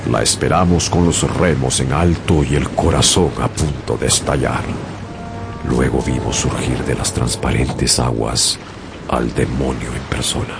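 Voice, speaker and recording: A man narrating a whaling voyage as a sailor in a radio drama, with a deep, gravelly voice. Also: fairly even intonation, a little slow, exaggerated voice. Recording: radio drama with music bed.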